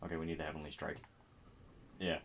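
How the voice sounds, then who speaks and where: A man's voice: very deep voice, completely flat delivery, fast, fairly bright tone, slight background noise. A man co-hosting a game stream, trading laid-back, wry banter. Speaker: stream co-host. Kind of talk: casual game stream chat.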